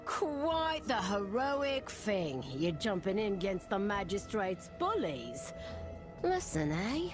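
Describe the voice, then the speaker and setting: A woman's voice: mid-range voice, sing-song, slow pace. A woman playing a bold, conspiratorial rebel townswoman in a video game. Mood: admiring, conspiratorial. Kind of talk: video game dialogue acting. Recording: game music behind.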